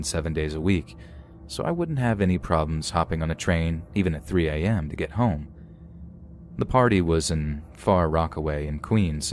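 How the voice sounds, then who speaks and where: A man narrating a scripted first-person horror story in a steady, even read. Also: deep voice.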